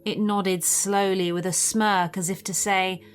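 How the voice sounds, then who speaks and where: A woman's voice: mid-range voice, little pitch movement, a little slow, clean tone. A woman reading a scripted first-person story as a narrator of scary tales. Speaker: narrator of listener-submitted scary stories.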